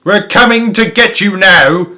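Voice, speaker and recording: Man doing a shrill little pot-bellied farmer. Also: expressive, normal speed, fairly bright tone. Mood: vicious. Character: short pot-bellied male farmer, vicious and excitable.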